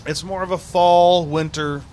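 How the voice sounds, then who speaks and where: A man's voice: high voice, steady tone, a little slow, crowd noise. A man co-hosting a casual chat show, giving easygoing, rambling explanations. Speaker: podcast co-host. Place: bar, live show.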